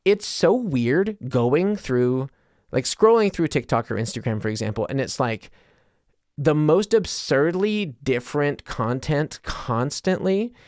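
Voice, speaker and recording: A man's voice: a little high, animated, measured pace, darkish tone, no room sound, clean studio sound. A man with an American accent hosting a podcast, talking casually in a rambling way and often interrupting himself. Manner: self-interrupting, trails into tangents. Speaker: podcast host.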